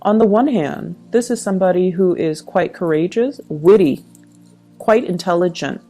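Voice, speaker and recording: A woman's voice: fairly low pitch, moderate pace, warm tone. A woman giving a conversational tarot reading, speaking reflectively and advising the listener directly. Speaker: tarot reader, channel host. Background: background music.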